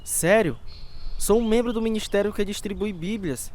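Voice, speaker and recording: Male actor as devout builder, higher male voice, Brazilian accent, friendly, fervent, radio drama dialogue. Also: moderate pace, darkish tone, lightly acted. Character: devout, friendly builder who distributes Bibles.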